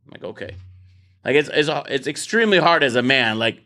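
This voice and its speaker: A man's voice: medium pitch, expressive, a little fast, sharp tone. A man hosting a casual debate show in his office, talking bluntly, swearing and repeating words as he starts sentences. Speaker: podcast host.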